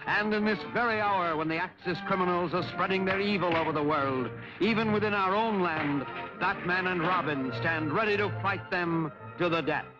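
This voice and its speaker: High-pitched dramatic 1940s serial announcer, played by a man. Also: normal speed, muffled recording, close to an everyday voice. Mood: grandiose. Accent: American. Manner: dramatic, declamatory. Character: urgent, grandiose male serial announcer. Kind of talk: 1940s movie serial narration. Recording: band-limited vintage 1940s film soundtrack. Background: loud orchestral music.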